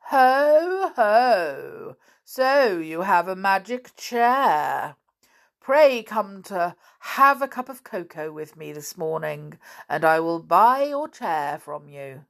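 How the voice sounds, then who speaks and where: A woman doing a booming, gloating little wizard. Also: big pitch swings, creaky, full character voice. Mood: gloating, sly. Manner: booming, theatrical, drawn-out exclamations. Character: horrid little bearded wizard, gloating and sly.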